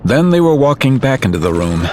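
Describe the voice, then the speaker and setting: A man narrating a story in a calm, measured, scripted way. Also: medium pitch. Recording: music under narration.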